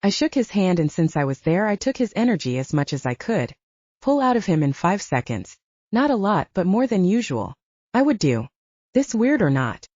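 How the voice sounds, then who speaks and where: Low mellow woman narrating an audiobook. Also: moderate pace, dry recording. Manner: expressive storytelling with lively voiced dialogue. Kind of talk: first-person audiobook narration. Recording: dull lo-fi dry recording.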